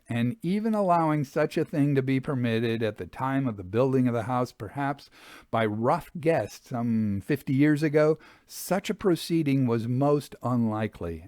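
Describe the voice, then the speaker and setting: Male audiobook narrator, mid-range voice, expressive measured storytelling of period prose, very dry recording. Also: medium pitch, no room sound. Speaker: audiobook reader. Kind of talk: scripted literary narration of a Victorian travel memoir.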